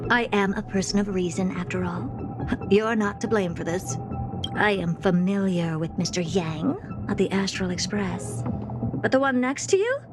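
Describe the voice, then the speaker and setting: A woman's voice: medium pitch, animated, moderate pace, music in the background, lightly acted. A woman playing a haughty, impatient young female genius scientist in a video game, imperious and dismissive.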